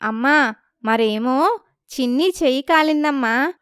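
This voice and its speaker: Slow, high-voiced little child. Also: female voice, a bit bright, clear, resonant tone, dry recording, clean studio sound. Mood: timid. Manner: childlike, innocent. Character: innocent little child, hungry and caring.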